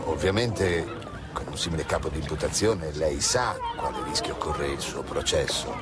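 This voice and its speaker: A man's voice: extremely low pitch, normal speed, over a noisy crowd, heavy background noise. An actor playing a genial, wry and shrewd male police commissioner in a radio drama. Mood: wry, pondering. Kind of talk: Italian radio drama acting.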